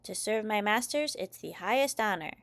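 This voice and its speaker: A dutiful robot dad from a children's storybook, acted out by a woman reader. The delivery is robotic, beeping and grandly overdramatic, with gravelly creak in the voice. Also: medium pitch, animated, moderate pace, fairly bright tone. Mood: obedient.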